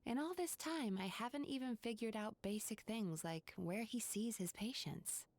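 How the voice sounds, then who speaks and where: A woman voicing a calm, composed female spirit therapist who talks in a measured, reserved way, in game voice acting. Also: on the high side, dry recording.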